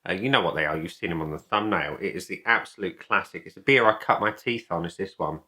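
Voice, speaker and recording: A man's voice: low-pitched, fast talker, pushed and bright. A man talking casually to camera as a beer reviewer, chatty, opinionated and emphatic. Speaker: beer reviewer and video host.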